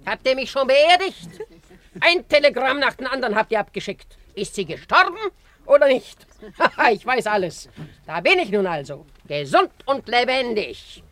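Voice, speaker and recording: A woman's voice: on the high side, sing-song, normal speed, a bit bright. A woman playing a blunt, imperious old Russian aunt in a German radio drama, commanding and mocking, often repeating her words. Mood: brisk, mocking. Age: older.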